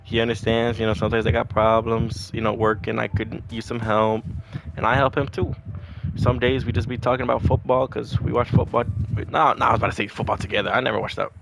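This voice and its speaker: A young man with a gravelly, mid-pitched voice tells a long, rambling story about work, swearing freely and growing animated as he goes. Faint noise sits under the recording. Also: quick pace. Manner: profane, rambling, animated retelling. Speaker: vlogger. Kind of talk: casual venting storytime.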